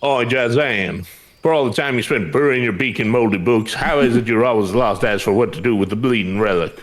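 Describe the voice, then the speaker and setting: A man's voice: mid-range voice, fairly quick, natural voice. A man voicing a brash, boastful master weaponsmith in a fantasy game, with blunt, sardonic, rustic slangy speech. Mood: cocky, teasing. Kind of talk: fantasy game dialogue read aloud.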